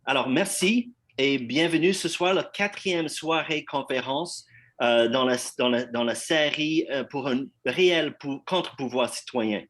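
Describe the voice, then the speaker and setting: An anglophone man introduces a community conference evening. He speaks haltingly, restarting phrases and filling gaps, in a mid-pitched everyday voice. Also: a bit bright. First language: English. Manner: halting, many fillers and restarts. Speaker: event host.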